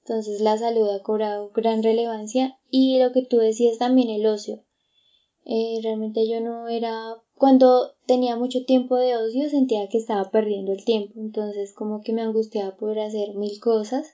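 A woman's voice: a little high, level tone, normal speed, mellow, dull audio. A woman, chatting reflectively with many fillers and restarts. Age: 20s. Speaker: guest. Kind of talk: casual conversation.

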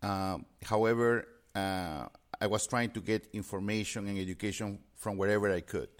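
Halting lowish-voiced man from Peru. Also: fairly even intonation. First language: Spanish. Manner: halting, many fillers and restarts. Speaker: interpreting business guest. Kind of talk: podcast interview answer.

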